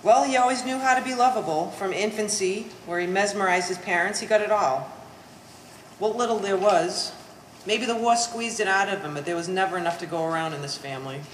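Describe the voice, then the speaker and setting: A woman's voice: medium pitch, brisk, lightly acted. A woman playing a bitter, sardonic daughter in a stage play, speaking in pompous, precise big words. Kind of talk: one-act stage play performance. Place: art gallery, live staged reading. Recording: reverberant gallery hall, faint hiss.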